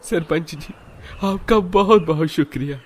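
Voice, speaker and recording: A man's voice: on the high side, unhurried. A man voicing earnest village men in a cartoon moral story, speaking emphatically. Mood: humble. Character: grateful village headman and humble poor young husband.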